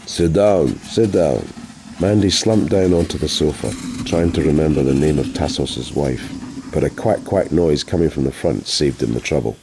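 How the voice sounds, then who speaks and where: A man's voice: a bass voice, moderate pace, background noise. A man reading a novel aloud as an audiobook narrator, in a scripted storytelling style.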